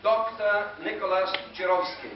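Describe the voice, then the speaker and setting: A man whose native language is Ukrainian speaks formally as a master of ceremonies, introducing guests in a courteous, deliberate, ceremonious manner. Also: high voice, fairly even intonation. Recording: muffled noisy 1980s hall recording, distant, echoey.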